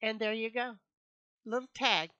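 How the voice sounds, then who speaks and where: A woman hosting a casual craft tutorial, chatty and a bit sniffly from allergies, often repeating herself and filling pauses. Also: a little high, highs cut off, noisy background. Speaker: craft channel host. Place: home craft room.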